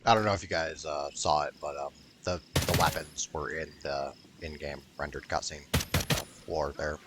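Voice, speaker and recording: Low-voiced man doing casual game commentary. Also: steady tone, moderate pace, heavy background noise. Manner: rambling, hesitant, sweary. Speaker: gaming YouTuber.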